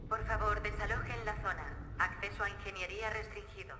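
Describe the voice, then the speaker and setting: A performer voicing an impersonal, formal station announcement system in a video game, calm and measured. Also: medium pitch, natural voice. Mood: official. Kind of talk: scripted public announcement. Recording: echoing PA in large hall, noisy.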